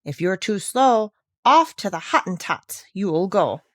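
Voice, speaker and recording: A woman's voice: medium pitch, sing-song, unhurried, dry recording, studio quality. Eager little Swiss girl, played by a woman. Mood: reciting. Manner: earnest, encouraging. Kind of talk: children's storybook read aloud.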